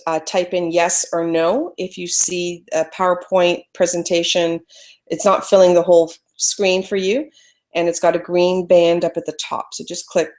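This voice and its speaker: A woman hosting a live photography webinar, chatting through screen-sharing trouble on a computer mic. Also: on the low side. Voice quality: loud voice. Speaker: webinar presenter teaching photography. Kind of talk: casual live webinar teaching.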